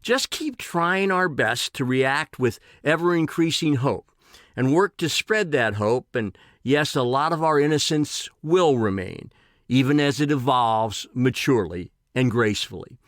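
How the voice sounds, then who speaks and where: A man's voice: mid-range voice, lively intonation, unhurried, fairly bright tone, no room sound, studio quality. A man reading his own personal essay in an earnest, emphatic way.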